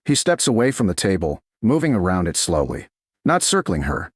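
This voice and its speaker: A man's voice: low voice, very animated, moderate pace, breathy edge, dry recording. A man narrating a romance story as a scripted audiobook.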